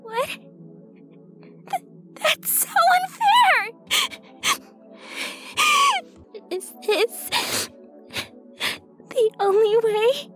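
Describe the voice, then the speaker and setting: A prickly goth schoolgirl from an anime cartoon acted by a woman. Her pitch jumps around widely as she talks in a huffy, defensive way, with music behind her. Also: very animated, drawn-out words, pauses often, heavily acted. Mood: upset. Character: feisty, prickly goth teenage anime girl. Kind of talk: animated visual novel acting.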